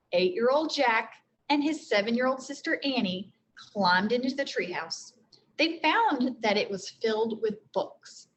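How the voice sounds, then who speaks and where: A woman's voice: on the high side, a little hiss or hum, low-quality recording. A woman teacher reading a storybook aloud to children, explaining things simply as she goes. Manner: explains simply, with frequent check-in questions.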